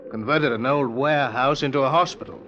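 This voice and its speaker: An actor with a British accent playing a courteous, deferential army lieutenant in a 1940s radio drama. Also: male voice, mid-range voice, a little fast, natural voice. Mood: respectful, admiring. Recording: dull old radio recording, faint noise.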